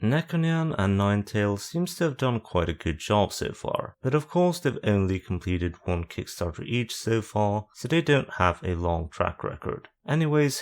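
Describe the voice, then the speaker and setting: A man reads a scripted video essay in a fairly low voice. He keeps a plain, even delivery through the facts and drops in deadpan, sarcastic jabs. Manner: dry, sarcastic asides. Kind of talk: scripted voice-over commentary.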